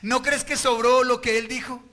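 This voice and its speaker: A man's voice: very high voice, level tone, moderate pace, pushed and bright. A Colombian man preaching a sermon as pastor at a church service, firing rhetorical questions at the congregation.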